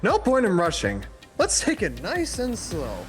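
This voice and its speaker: A woman with a mid-pitched, very animated voice plays around with game dialogue among friends. She takes her time and sounds theatrical. Game music runs clearly behind her. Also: unhurried. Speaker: participant reading game dialogue aloud. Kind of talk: casual chat mixed with playful dialogue reading. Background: video game music.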